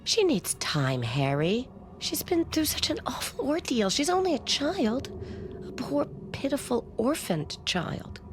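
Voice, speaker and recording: An actress playing a middle-aged, grieving and protective foster mother, gentle and emotional, in a radio drama. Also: high voice, big pitch swings, unhurried, over music. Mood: worried, protective. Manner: gentle, emotional, breaks into hushed tones.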